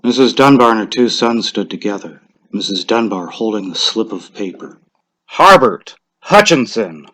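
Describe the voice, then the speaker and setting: A man narrating a short story in a measured, expressive, suspenseful dramatic reading style. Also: lowish voice, low-quality recording.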